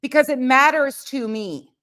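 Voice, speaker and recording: A woman's voice: high voice, a little slow, sharp tone. A woman hosting a live reaction stream, with a blunt, combative, sweary way of talking. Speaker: livestream host.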